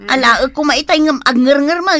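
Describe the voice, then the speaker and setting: A woman's voice: sits high, fairly quick, a bit bright, no echo. A woman playing a prank-loving village wife and mother in an Ilocano radio comedy drama. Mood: teasing. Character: teasing village wife and mother who loves pulling pranks.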